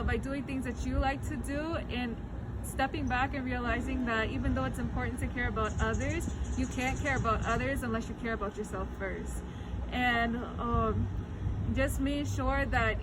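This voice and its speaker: A woman's voice: fairly high pitch, heavy background noise. A young woman in the audience giving a composed, thoughtful answer. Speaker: audience member.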